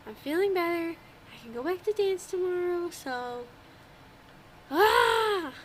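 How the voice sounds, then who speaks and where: High-voiced woman, stuffy nose. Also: animated, takes it slowly, slight background noise. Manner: chatty, swears freely, laughs often. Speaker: podcast co-host. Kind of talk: casual chat. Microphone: old home microphone.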